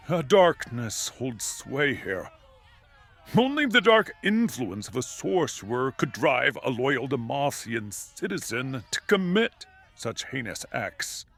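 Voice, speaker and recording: A man playing a grim, zealous warrior in a fantasy audio drama, speaking gravely and emphatically in a rough voice. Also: mid-range voice, sharp tone, full character voice. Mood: bitter conviction.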